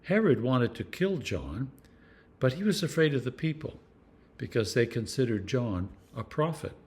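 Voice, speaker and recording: Male scripture reader, clergyman, mid-pitched voice with animated intonation, measured dramatic storytelling. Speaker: clergyman reading scripture for a church meditation series. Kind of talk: expressive scripture reading.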